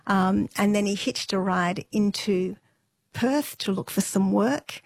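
A middle-aged woman, telling stories conversationally and matter-of-factly, with thoughtful pauses. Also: mid-range voice, vocal fry, breathy edge, dry recording. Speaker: interview guest. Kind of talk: conversational family storytelling.

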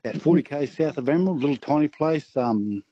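A man's voice: on the high side, animated, a little fast, husky, dry recording. A man with an Australian accent chatting casually and easily as a caller on a radio quiz, over a dull phone line.

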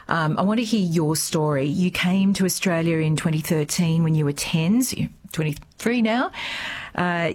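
A woman hosting a radio interview, asking gentle probing questions and often rephrasing them. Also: fairly low pitch. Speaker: radio host.

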